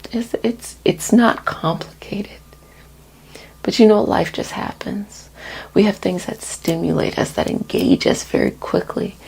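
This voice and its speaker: A woman with a soft, breathy voice that often drops to a whisper gives a soothing, gently reassuring ASMR energy healing talk. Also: medium pitch, poor audio. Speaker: energy healer and host of healing sessions.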